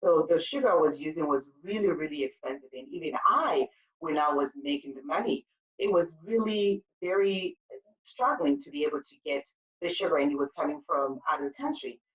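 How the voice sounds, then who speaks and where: A woman's voice: sits low, animated, brisk, far from the mic, dull audio. A woman from Zimbabwe, a spa owner, answering interview questions in an earnest storytelling way with frequent fillers. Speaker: guest, spa owner and sugar paste maker.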